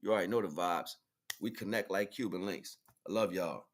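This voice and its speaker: A man with a deep voice hosts a casual livestream. He speaks informally and in slang, often ending his thoughts with a tag question to the listener. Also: a little fast. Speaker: livestream host.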